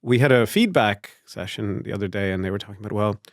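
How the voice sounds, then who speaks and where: A man's voice: lowish voice, fast, no echo, studio quality. A man hosting an interview show, asking curious, conversational questions with hesitant restarts. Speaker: podcast host.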